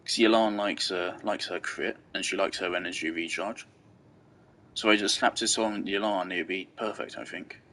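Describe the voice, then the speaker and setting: Low-pitched man rambling through game commentary. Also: normal speed, sharp tone. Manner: rambling, thinking aloud. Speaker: game streamer. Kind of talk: casual gameplay commentary.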